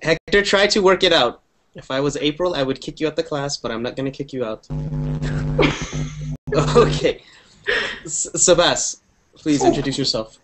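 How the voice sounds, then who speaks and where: A man's voice: on the high side, normal speed, pauses often, a bit bright. Young guy teaching a video call class, upbeat, says cool a lot, earphone mic. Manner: upbeat, encouraging, repeats "cool". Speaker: English teacher. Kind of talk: online group class.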